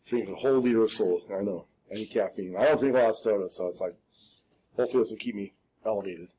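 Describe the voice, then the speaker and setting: A man with a slightly raspy voice teaching drafting in a live step-by-step demonstration, informal and folksy, often checking that everyone follows. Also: fairly low pitch, fast, crowd noise, rough recording. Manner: instructional, checks in often with "okay?"; informal, folksy, self-deprecating.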